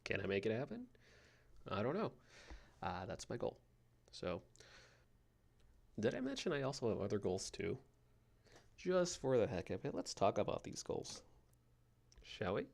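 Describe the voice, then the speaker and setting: A young man with a soothing, somewhat low voice that has a gravelly fry to it. He rambles softly and slowly as the host of a sleep podcast, with plenty of fillers. He speaks into a home computer microphone. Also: big pitch swings. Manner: soft, slow and meandering, with frequent fillers.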